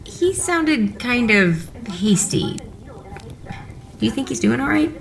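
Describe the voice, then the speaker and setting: A woman's voice: fairly high pitch, very animated, takes it slowly, vocal fry, full character voice. An actress playing a proud, teasing middle-aged scientist wife and mother in an audio drama. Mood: concerned.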